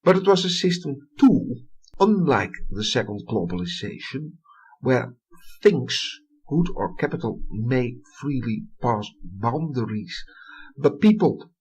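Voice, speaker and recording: A middle-aged man from the Netherlands with a Dutch accent, giving a recorded history lecture and reading a prepared text with deliberate emphasis. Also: medium pitch, big pitch swings, darkish tone, breathy edge, low-quality recording.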